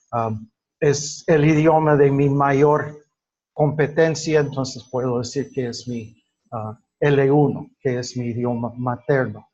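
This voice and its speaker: A man whose first language is English, giving a classroom lecture in Spanish, halting with many fillers and restarts. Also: medium pitch, a little mellow, lo-fi sound. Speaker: teacher.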